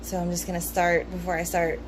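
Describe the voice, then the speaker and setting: Woman, low-pitched, unhurried casual reader-rambler, steady background hum. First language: English. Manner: relaxed, thoughtful, with frequent ums and pauses. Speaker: vlogger discussing esoteric teachings. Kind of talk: casual rambling talk mixed with reading aloud.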